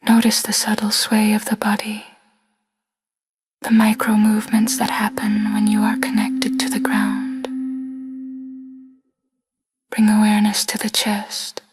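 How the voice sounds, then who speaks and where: A woman with a soft, breathy, hushed voice leading a scripted guided body scan meditation in short phrases with long pauses. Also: mid-range voice, completely flat delivery.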